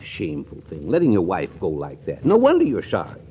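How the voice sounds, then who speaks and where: An actor playing a crotchety, absent-minded old judge in a 1940s radio comedy, testy and muttering, on a muffled, noisy recording. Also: male voice, deep voice, huge pitch swings, brisk. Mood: muttering, musing. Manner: testy, muttering, stumbling over long words.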